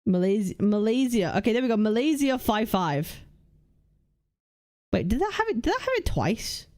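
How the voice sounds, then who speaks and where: A young woman hosting a casual livestream, reading chat and lists aloud, blunt and swearing freely as she thinks out loud. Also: on the high side, very animated, moderate pace. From United Kingdom. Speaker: livestream host.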